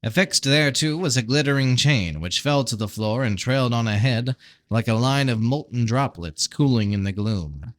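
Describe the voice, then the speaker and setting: A man's voice: mid-range voice, animated, moderate pace, dry recording, clean studio sound. A tired-sounding man giving a scripted narration of a fantasy novel, as an amateur audiobook reader.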